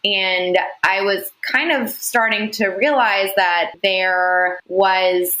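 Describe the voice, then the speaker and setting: A young woman, a company founder, answering interview questions in a measured, explanatory way with frequent "you know" fillers. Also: medium pitch, fairly even intonation, a bit bright, echoey room, low-quality recording. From United States. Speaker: founder, podcast guest.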